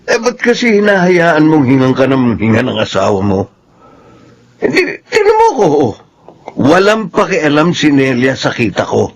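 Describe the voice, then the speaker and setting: A man acting a rough, boastful working-class husband with regrets in a Filipino radio drama. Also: fairly high pitch, wildly swooping pitch, moderate pace, heavily acted. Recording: radio drama with background music.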